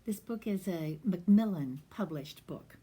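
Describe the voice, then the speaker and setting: A woman who is a reading teacher, reading a picture book aloud to children in a gentle, soft manner that slips into whispers. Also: fairly low pitch, lively intonation, a little slow, a little hiss or hum.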